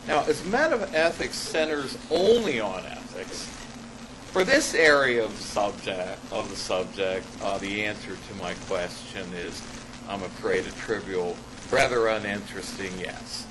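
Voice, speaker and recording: A man reading an academic paper aloud to a class as a lecturer, halting at times and stressing key phrases. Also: lowish voice, expressive. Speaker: college ethics lecturer and paper author. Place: classroom in front of students. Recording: noisy amateur classroom recording.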